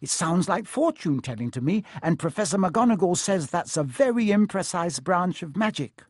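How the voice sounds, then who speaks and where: A man's voice: high voice, expressive, moderate pace, no room sound, clean studio sound, voice pushed up. A man voicing an earnest, anxious, bookish young schoolgirl witch in an audiobook. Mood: worried, reassuring. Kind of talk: audiobook character voice.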